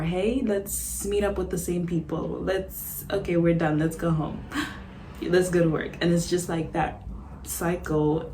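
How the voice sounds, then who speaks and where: A woman's voice: low-pitched, a little mellow, reverberant, a little hiss or hum. A young woman from Zimbabwe, a vlogger talking casually to camera, chatty and a little self-conscious, often laughing. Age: young adult. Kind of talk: casual vlog monologue. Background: background music.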